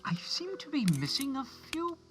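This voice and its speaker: Giggly, doting old gentleman, bright voice. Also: very high voice, very animated, normal speed, exaggerated voice. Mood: mild, puzzled. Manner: fussy, sweetly doting, chuckling and hesitant. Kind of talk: comedic radio play parody acting.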